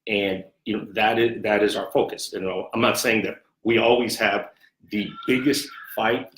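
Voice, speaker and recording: Roomy echo, male interview guest, slightly deep voice, sports executive, measured conversational delivery, repeated phrases. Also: fairly even intonation, fairly bright tone. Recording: echoey, roomy sound.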